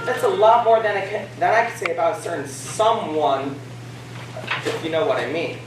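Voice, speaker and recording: A woman's voice: fairly low pitch, normal speed, music in the background, lightly acted. A woman on stage playing a boisterous, pompous dinner guest who tells absurd tales with theatrical emphasis. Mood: pompous, amused. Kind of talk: amateur stage comedy acting. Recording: echoey stage, distant mic, noisy.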